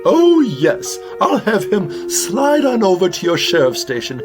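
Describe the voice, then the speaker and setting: A man's voice: high-pitched, sing-song, over music. A man voicing a dramatic, genteel, fretful mother owl in a children's audio drama. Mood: grateful. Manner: theatrical, fretful, drawn-out emphasis.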